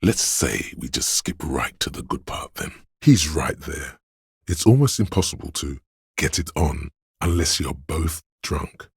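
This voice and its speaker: A man voicing a video game's inner voice of addiction, a seductive, hedonistic tempter who coaxes and drawls in a deep, gravelly, husky voice. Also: lively intonation, unhurried, no room sound, clean studio sound, exaggerated voice. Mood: coaxing. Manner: coaxing, insinuating, drawling. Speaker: video game voice actor. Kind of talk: theatrical second-person game narration.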